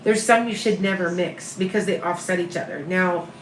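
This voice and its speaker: A woman with a Midwestern accent talks to her live viewers in a calm, comforting way. The room around her is echoey, with a faint hum behind her voice. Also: fairly low pitch, moderate pace. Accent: Upper Midwest American. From American Midwest. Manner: calm, soothing, reassuring. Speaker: tarot reader and medium. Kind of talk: live-stream reading viewer questions.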